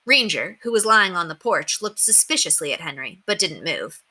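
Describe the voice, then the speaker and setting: A woman reading a children's book aloud in an expressive storytelling style, acting out the dialogue in an animated way. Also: lowish voice, normal speed. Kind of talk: expressive read-aloud narration.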